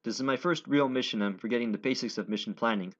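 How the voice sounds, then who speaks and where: A man's voice: medium pitch, fast. A man reading a visual novel's dialogue and narration aloud as a let's player, stumbling over words and correcting himself. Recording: dull audio with game music behind. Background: game music.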